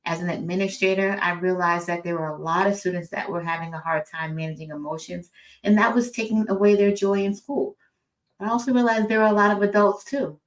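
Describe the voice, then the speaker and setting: A woman's voice: medium pitch, steady tone, fairly quick, rough recording. A middle-aged woman, an author and educator, talking informally as a guest speaker. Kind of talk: informal introduction and personal talk.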